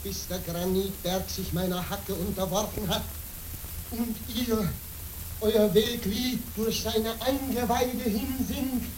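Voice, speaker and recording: An actor playing a stubborn, fervent wandering monk in a radio play, with a solemn, declamatory delivery. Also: male voice, sits high, steady tone, slow. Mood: defiant, solemn. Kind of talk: German radio play acting. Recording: echoey, noisy old radio drama recording.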